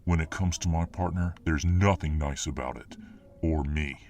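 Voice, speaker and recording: Guy doing a tough muscly fireman boyfriend, deep n creaky, blustery and protective, asmr roleplay. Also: normal speed, darkish tone. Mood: menacing. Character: muscular dominant fireman boyfriend, gruff, defensive, protective.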